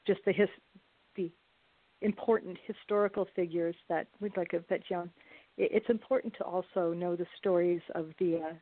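A woman taking part in a casual group discussion, talking in a measured, considered way. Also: lowish voice, fairly even intonation. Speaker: radio play cast member. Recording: dry, thin phone-like call audio.

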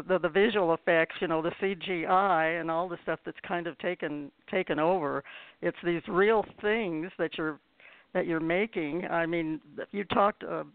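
A woman hosting a radio interview, speaking in a halting, hesitant way. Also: fairly low pitch, no echo. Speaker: radio show host. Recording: tinny phone-like line.

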